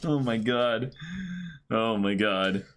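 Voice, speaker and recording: A man hosting a livestreamed reading, chatting casually and exclaiming in an animated way. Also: fairly low pitch, slow, clear, resonant tone. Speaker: host of a livestreamed manga dramatic reading. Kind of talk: casual live stream chat with comic asides.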